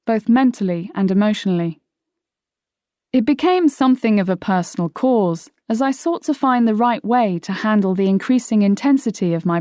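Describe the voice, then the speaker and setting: A woman reading her own nonfiction audiobook in a measured, articulate way. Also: mid-range voice, dark tone, dry recording, clean studio sound. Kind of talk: scripted audiobook narration. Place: dry studio.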